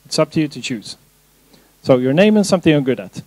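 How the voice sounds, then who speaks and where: A man's voice: on the high side, big pitch swings, fast, darkish tone, dry recording, rough recording. Man leading a workshop, dry sound. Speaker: course trainer. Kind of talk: workshop instructions to a group. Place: conference room, standing at front.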